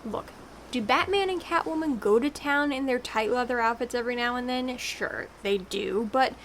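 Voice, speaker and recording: A woman's voice: a little high, normal speed, fairly bright tone, a little hiss or hum. An actress playing a commanding woman supervillain in a comedic audio drama, dry and imperious, torn over love. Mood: exasperated.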